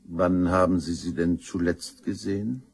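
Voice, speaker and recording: An actor playing a matter-of-fact police detective in a crime radio play, dry and understated. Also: male voice, low-pitched, steady tone, unhurried, darkish tone, breathy edge, full character voice. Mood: dry, probing.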